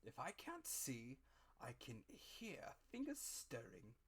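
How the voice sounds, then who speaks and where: A man voicing a cruel old blind beggar in a strained, theatrical, drawn-out sing-song for a storybook reading. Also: medium pitch, wildly swooping pitch, fairly bright tone, breathy edge, exaggerated voice. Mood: menacing, commanding. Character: dreadful cruel old blind beggar, wheedling then menacing.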